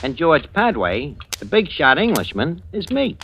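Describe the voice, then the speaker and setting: Shifty, lively New York wise guy. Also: fairly high pitch, a little slow, slight background noise, full character voice. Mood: smug storytelling. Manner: streetwise slangy talk with bad grammar. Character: shifty, wisecracking American crook posing as a gentleman. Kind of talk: 1940s radio acting, first-person narration. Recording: old radio recording with music behind.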